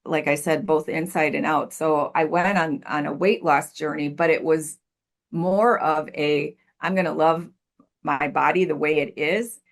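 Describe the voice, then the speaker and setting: A woman's voice: low voice, steady tone, unhurried, vocal fry. A woman in her sixties, a podcast guest answering thoughtfully over a video call, earnest and repeating words as she searches for them. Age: 60s. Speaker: podcast guest, life coach. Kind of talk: reflective interview answers.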